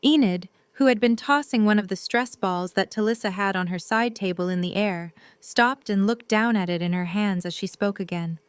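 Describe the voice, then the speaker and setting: A woman's voice: mid-range voice, normal speed, few pauses, clean tone, no echo. A woman reading scripted story narration as the narrator of a drama.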